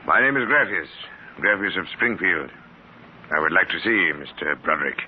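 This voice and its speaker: A stiff, exacting scholar with an oddly formal way of speaking. His voice sits very low and measured. It comes through a dull, muffled 1950s radio recording with faint hiss. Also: expressive. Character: odd, precise scholarly man seeking Martians. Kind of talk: 1950s radio drama acting.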